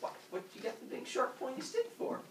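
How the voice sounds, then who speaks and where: Teacher putting on a panicky sky father, shrill, yelping, comic myth story, distant roomy recording. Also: big pitch swings, fairly quick, heavy background noise. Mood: startled. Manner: startled yelps and squeals. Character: panicky, shrieking old male sky god, melodramatic.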